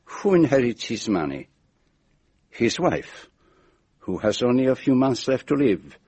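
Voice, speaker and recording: A shrewd, middle-aged Belgian detective in a radio drama. His French-speaking Belgian accent comes in a slightly husky, lively voice that rises and falls theatrically. He lays out each point precisely, with deliberate pauses. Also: mid-range voice. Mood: accusing, deliberate.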